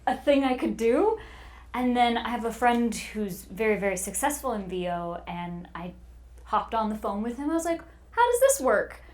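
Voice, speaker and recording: A woman speaks in a fairly high voice as a guest on a casual interview. She tells stories about herself playfully, leaning on key words for emphasis. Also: lively intonation, normal speed. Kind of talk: casual chat, personal storytelling.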